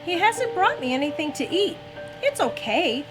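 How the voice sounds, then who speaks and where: A woman reading a children's story aloud in an expressive, gentle storytelling manner. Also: extremely high pitch, moderate pace. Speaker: mother reading aloud on a family story-time show. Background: background music.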